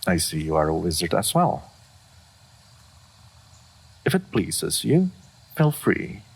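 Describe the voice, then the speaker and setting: A man playing an aloof dragon in human form, speaking formally and with deadpan reserve in a fantasy roleplay audio. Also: sits low, takes it slowly, vocal fry, a little hiss or hum, full character voice. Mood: cool, reserved. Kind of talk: scripted fantasy roleplay audio addressed to the listener.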